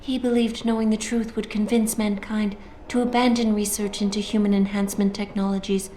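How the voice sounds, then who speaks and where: A woman voicing an enigmatic artificial-intelligence news anchor, measured, formal and solemn. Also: mid-range voice, little pitch movement, dark tone, slight background noise, lightly acted. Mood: solemn composure. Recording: echoey, faint music behind.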